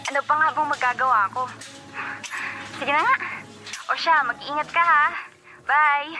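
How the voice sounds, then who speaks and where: A woman's voice: sits high, moderate pace, bright, forceful tone, faint music behind, low-quality recording. An actress playing an exasperated young female friend in a Filipino radio drama, with a Filipino accent.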